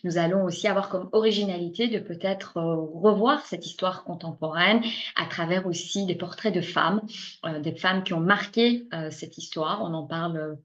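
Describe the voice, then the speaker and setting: A woman from Iran, a native Persian speaker with a Belgian French accent, presenting her course as a lecturer and pausing often on filler sounds. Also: lowish voice, a bit bright, muffled recording, slight background noise. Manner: hesitant, frequent filler sounds. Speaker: university lecturer.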